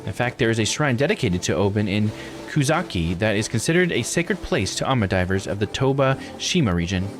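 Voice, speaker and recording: A man's voice: fairly low pitch, sing-song, a little fast, music in the background. A man voicing an earnest, knowledgeable expert who formally explains local lore in a visual novel game. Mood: informative. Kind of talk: visual novel dialogue read aloud.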